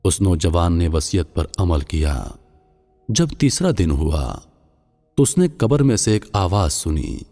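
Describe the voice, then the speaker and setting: Deep, solemn male storyteller in long reverb. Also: big pitch swings, mellow. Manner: solemn, deliberate. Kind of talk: scripted religious moral-story narration. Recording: long reverb, music underneath. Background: music.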